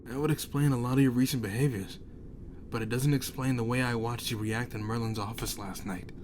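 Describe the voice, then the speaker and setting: A man's voice: mid-range voice, fairly even intonation, brisk, a little mellow, slight background noise, natural voice. A man acting the frank, challenging partner of a vigilante in an audio drama, with a questioning manner. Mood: probing. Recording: music bed under the voice.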